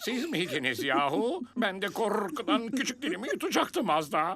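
A woman voicing an excitable, affectionate grown-up in a children's cartoon, with dramatic exclamations. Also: medium pitch, brisk, a loud crowd. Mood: frightened. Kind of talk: animated children's cartoon acting.

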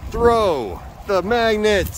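A man records a lighthearted outdoor vlog beside the water, teasing his companion. His voice jumps around in pitch as he jokes. Wind and outdoor noise come through strongly on the camera mic. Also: very animated, drawn-out words. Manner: teasing, playful, sings silly tunes. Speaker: vlogger. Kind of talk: casual outdoor vlog banter. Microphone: handheld camera.

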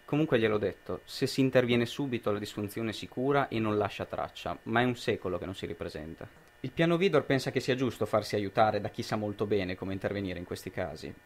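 Young man playing a fast-talking, officious state expert. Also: fairly low pitch, lightly acted. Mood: stern, impatient. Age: young adult. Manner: clipped, formal, authoritative. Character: brusque, officious male expert sent by an authoritarian regime. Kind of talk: amateur radio drama acting.

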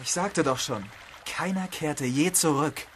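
A woman's voice: low voice, measured pace, a little hiss or hum. A woman playing an anxious desert guide in a children's radio play, urgently warning. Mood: ominous.

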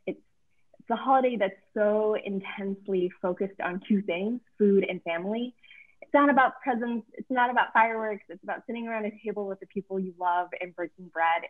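A woman moderating a virtual author panel, speaking in an effusive, measured way over a video call. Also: medium pitch. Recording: tinny phone-like video call audio.

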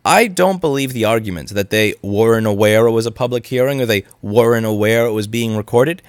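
A man's voice: mid-range voice, no echo, clean studio sound. A man in his thirties giving an indignant, argumentative commentary straight to camera, pushing his points with emphatic rising stresses. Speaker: repair shop owner and channel host. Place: indoor room with brick wall and couch. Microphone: studio mic on boom arm in front.

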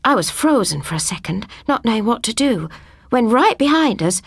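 A woman narrating a scripted first-person ghost story as an audiobook reader, with a husky voice. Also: fairly high pitch, big pitch swings, moderate pace, no room sound, studio quality.

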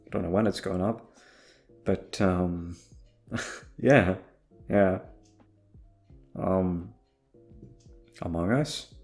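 A man's voice: deep voice, extremely slow, pauses often, a little mellow, soft background music. A young man chatting casually to his listeners in a rambling, hesitant way, swearing freely and putting himself down as he repeats himself. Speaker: audio roleplay voice actor.

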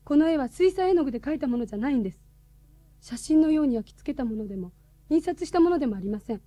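High, soft-toned serious woman. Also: expressive, no room sound. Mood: serious inquiry. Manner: formal, measured, polite. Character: serious, courteous woman questioning a schoolboy. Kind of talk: radio drama acting.

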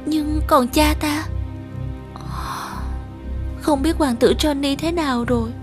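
Very high-pitched young cartoon princess. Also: female voice, takes it slowly, loud music behind the voice. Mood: wistful, tender. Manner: earnest, plaintive. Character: gentle, brave young princess forced into servitude. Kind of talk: children's cartoon acting.

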